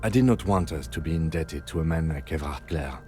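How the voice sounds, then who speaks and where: A composed, formal police lieutenant in a video game. His voice is very low and mellow, and he speaks with quiet restraint. Soft music sits behind him. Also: expressive, fairly quick. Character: calm, reserved police lieutenant.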